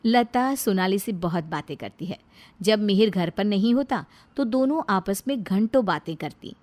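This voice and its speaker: A woman's voice: medium pitch, lively intonation, moderate pace, darkish tone. A woman reading scripted narration for a moral story.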